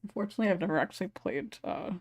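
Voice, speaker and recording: A woman's voice: mid-range voice, racing, breathy edge. A woman hosting a casual panel chat, giggly and conversational, on a close microphone. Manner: giggly, laughs often while talking. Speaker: podcast host.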